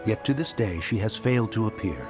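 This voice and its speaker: Proud archaic mountain adeptus, voiced by a man; expressive rise and fall, formal sighing delivery. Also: lowish voice, brisk, music in the background, close to an everyday voice. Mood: stately. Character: proud old male mountain adeptus in human form. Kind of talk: game voice acting.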